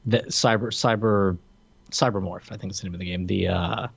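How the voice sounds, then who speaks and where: A man co-hosting a podcast, chatting casually and haltingly in a movie review style. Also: sits low, fairly even intonation, creaky, slightly rough. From Florida, United States. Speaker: podcast co-host.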